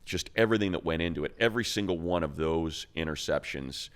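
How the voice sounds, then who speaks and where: A man's voice: a bass voice, fast talker. A man who works as a sports announcer, chatting casually about the game as a co-host.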